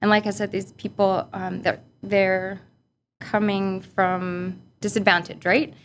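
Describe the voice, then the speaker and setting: Reflective woman from Barbados, mid-pitched. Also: little pitch movement, a little slow, slight background noise. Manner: reflective, frequent fillers, laughs easily. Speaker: guest, policy analyst. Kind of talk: casual interview conversation. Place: office boardroom.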